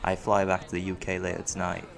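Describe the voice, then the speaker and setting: A man's voice: low voice, monotone, fast, creaky, music in the background. A man playing a young student doctor with a secret life as a lawman, in an audio roleplay. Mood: friendly, curious. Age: young adult.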